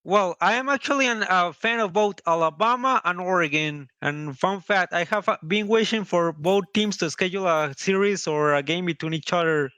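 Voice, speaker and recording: A man's voice: high-pitched, normal speed, few pauses, sharp tone, clean tone, dry recording. A man with a Costa Rican accent, calling in as a listener and casually asking questions. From Costa Rica. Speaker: audience caller.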